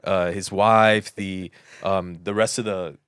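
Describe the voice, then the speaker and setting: A man with a fairly low voice banters casually as a podcast co-host. He chips in to fill out and clarify the story, recorded dry and close. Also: steady tone, takes it slowly, fairly bright tone, clean tone, no echo. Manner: chipping in, prompting and clarifying.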